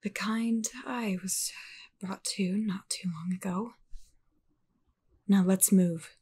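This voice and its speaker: A woman playing a grave, cryptic cult fighter in an audio drama, speaking in a measured, breathy way. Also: mid-range voice, steady tone, dark tone. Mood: solemn, resolute. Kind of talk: dramatic audio roleplay acting.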